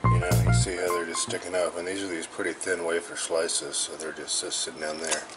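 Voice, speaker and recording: A man's voice: low-pitched, fairly quick, poor audio. A man narrating a casual cooking vlog in a home kitchen, rambling and folksy, often interrupting himself. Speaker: vlogger. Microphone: handheld camera held by the speaker. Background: loud music, sizzling pan.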